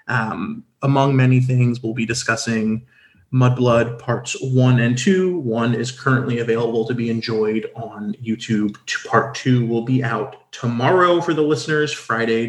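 A man's voice: medium pitch, lively intonation, a little slow, reverberant. A man co-hosting a podcast, introducing a guest in a chatty, hesitant way with many fillers and restarts. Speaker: podcast co-host. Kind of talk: casual podcast interview.

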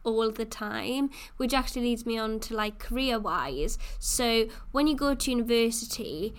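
A woman's voice: on the high side, normal speed, clear, resonant tone. A woman, hosting a casual solo podcast and chatting freely, with plenty of "like" and "you know.". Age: 20s. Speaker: podcast host.

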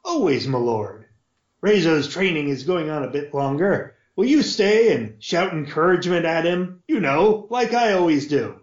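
A man voicing a blustering, pompous middle-aged lord who hectors loudly in a raised voice. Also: very animated, moderate pace. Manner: loud, high, hectoring. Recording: roomy, dull lo-fi audio.

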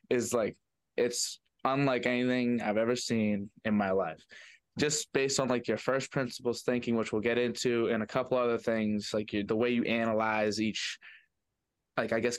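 Man, mid-range pitch, podcast host, casual and earnest, admiring interviewer, dry studio-close sound. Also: no room sound. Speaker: podcast host, former student.

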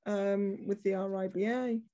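Encouraging woman host, mellow voice. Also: medium pitch, fairly even intonation, measured pace, lo-fi sound. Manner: encouraging, frequent brief backchannels and laughter. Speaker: podcast host. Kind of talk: interview hosting.

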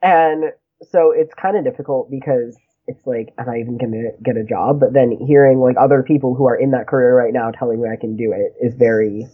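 High-voiced young man, tinny husky line. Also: steady tone, brisk, few pauses. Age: young adult. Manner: chatty, rambling, with frequent "like" and laughs. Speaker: guest, graduate student. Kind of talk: casual conversation. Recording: tinny phone-like husky sound.

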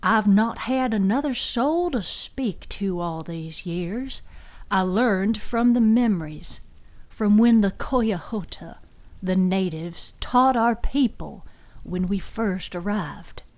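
An unhurried woman storykeeper of the woods, acted by the narrator, speaks in a folksy fiction podcast manner. She is patient and wry, with a gently teasing edge, at an ordinary female pitch. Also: animated. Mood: wry, reflective. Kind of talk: fiction podcast character dialogue.

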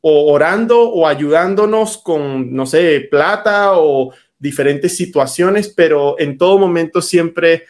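A man from Venezuela, a guest in an interview telling his family's story in a halting way with many restarts. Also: on the high side. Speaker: guest, startup founder.

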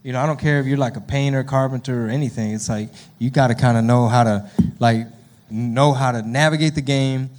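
A man's voice: medium pitch, fairly even intonation, a little fast, a little mellow. A man from Texas with a Texan accent, hosting a casual podcast chat in slangy, familiar language. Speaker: podcast host, comedian.

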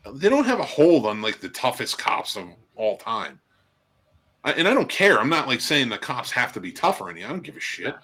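A man's voice: medium pitch, sing-song, fairly quick, hardly any pauses, bright, forceful tone. A middle-aged man chatting casually about films on a podcast, ranting in exasperation and swearing freely. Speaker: film podcast panelist. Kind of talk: casual profane film chat.

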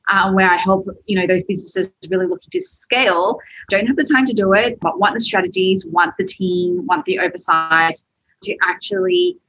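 A woman's voice: mid-range voice, very animated, normal speed, vocal fry, a bit bright, husky, rough recording. A young Australian woman with an Australian accent, telling her story as a podcast guest in a chatty way, laughing often. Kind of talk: podcast interview.

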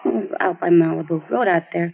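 A woman's voice: lowish voice, brisk, creaky. A woman playing a flippant, scornful runaway teenage girl in 1950s American radio drama, talking in slang. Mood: dismissive. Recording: dull noisy old radio recording.

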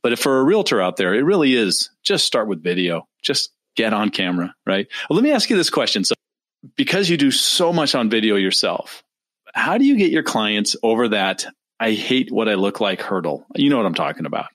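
A man's voice: lowish voice, big pitch swings, brisk, a bit bright, dry recording, clean studio sound. A man hosting a podcast interview, affirming the guest and asking long questions. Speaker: podcast host.

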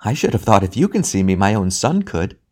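A man voicing the bitter ghost of a mother, snappish and demanding, raising his voice higher for her. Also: very animated, brisk, a little mellow. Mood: impatient, bitter.

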